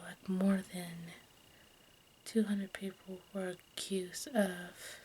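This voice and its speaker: A woman speaks in a breathy, unvoiced whisper for ASMR. Her delivery is slow and very even, with halting stumbles as she reads informally. A steady, noticeable noise sits behind the voice. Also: medium pitch. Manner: halting, stumbles over words while reading. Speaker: ASMR video host.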